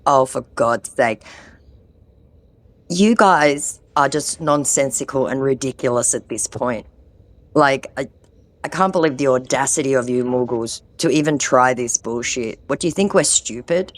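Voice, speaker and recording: A woman's voice: extremely low pitch, a little slow. A woman with an ear infection, mocking a phone scammer in a sarcastic, confrontational way. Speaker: scam-baiting vlogger. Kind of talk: confronting a phone scammer, then chatting to viewers.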